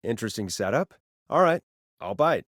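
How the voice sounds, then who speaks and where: A man's voice: lowish voice, sing-song, moderate pace, a bit bright, breathy edge, no room sound, studio quality, heavily acted. An actor playing a sardonic male late-night radio talk show host who stammers a little under pressure, in a video game. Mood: wry, sardonic. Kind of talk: video game voice acting, conversational radio patter.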